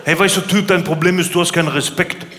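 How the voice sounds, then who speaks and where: A man's voice: high voice, fairly even intonation, quick pace, pushed and bright. A man voicing a dim, aggressive young street punk on a comedy stage, talking in belligerent slang and repeating himself. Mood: defiant. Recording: echoey hall, live audience, noisy.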